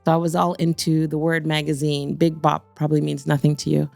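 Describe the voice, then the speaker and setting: Low gravelly-voiced woman. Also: little pitch movement, brisk, darkish tone, no echo, soft background music. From Guyana. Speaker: photographer guest. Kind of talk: interview conversation.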